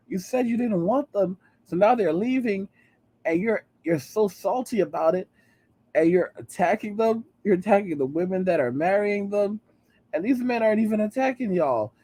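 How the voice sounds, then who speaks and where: A man's voice: sits high, mellow, slightly rough. A man in his late thirties hosting a commentary channel, ranting heatedly and repeating "all right?" between points. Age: 30s.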